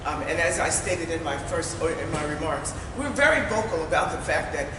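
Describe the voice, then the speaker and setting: A woman's voice: low-pitched, brisk. A woman running for mayor, giving an earnest, emphatic forum speech that repeats phrases for stress. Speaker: mayoral candidate. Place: large meeting hall. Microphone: distant room mic. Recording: echoey hall from far off, noisy.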